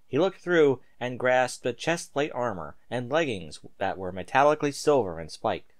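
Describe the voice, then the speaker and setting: Man narrating with lively, swooping pitch. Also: normal speed. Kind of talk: dramatic amateur story reading.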